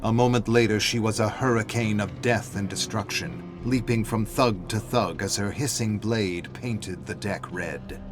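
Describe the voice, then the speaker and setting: An actor playing a grave, brooding storyteller, narrating dark tales in a measured, theatrical delivery. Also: male voice, on the low side, over music, natural voice. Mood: grave, ominous. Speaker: narrator of game lore logs. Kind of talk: dramatic scripted narration.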